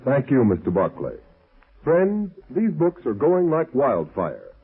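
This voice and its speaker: Male announcer for 1930s broadcast; formal, lively swings in pitch, slightly husky, very narrow lo-fi sound. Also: slow. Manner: formal, emphatic. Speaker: radio announcer. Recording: very muffled, rough old radio recording.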